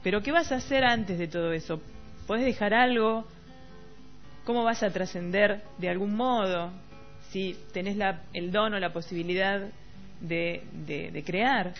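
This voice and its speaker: A woman radio host with a gravelly edge and a Rioplatense Argentine accent. She chats about literature in a halting way, circling back and repeating herself as she hunts for words. The sound is slightly muffled, with faint music underneath. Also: medium pitch. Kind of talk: casual literary radio chat.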